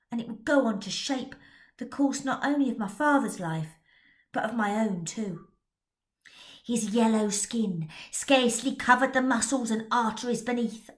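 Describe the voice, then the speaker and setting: A woman author reads her own novel aloud in a lively, rising-and-falling voice, slightly high. She leans into the drama and drops to hushed whispers at tense moments.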